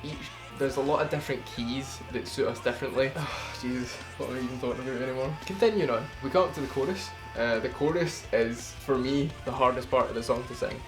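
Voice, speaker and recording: A middle-pitched man explains how he sang a cover, drifting off-topic and swearing casually as he goes. He is self-deprecating about his own skills. A song plays clearly underneath his talk. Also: fast, few pauses, music in the background, low-quality recording. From United Kingdom. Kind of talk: casual vocal breakdown. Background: song playing underneath.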